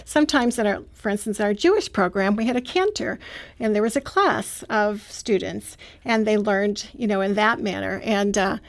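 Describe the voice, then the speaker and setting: A woman's voice: medium pitch, normal speed. A woman describing how her radio program is made, talking at length with frequent fillers. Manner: explains at length with many fillers. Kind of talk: radio interview.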